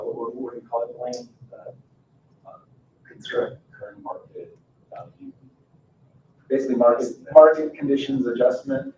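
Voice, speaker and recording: A man's voice: mid-range voice, a little mellow, muffled recording. Man presenting in an echoey meeting room. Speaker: consultant presenting to a board. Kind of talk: informal presentation at a public meeting. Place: indoor town board meeting room. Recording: echoey room, distant mic, faint noise.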